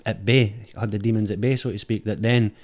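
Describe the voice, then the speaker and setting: Man, Edinburgh Scottish accent, middle-aged, lowish voice, frank, halting repeats. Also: fairly even intonation, darkish tone. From Scotland. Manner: thoughtful, frank, repeats words while searching. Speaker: guest, film director. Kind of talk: candid reflective conversation. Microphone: dynamic studio mic.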